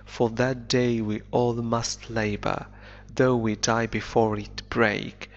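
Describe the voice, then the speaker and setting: A man teaching English, reading a novel aloud slowly and deliberately and explaining it line by line, an Arabic speaker. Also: medium pitch, level tone. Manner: slow deliberate reading, then quick explanations. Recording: dull lo-fi recording.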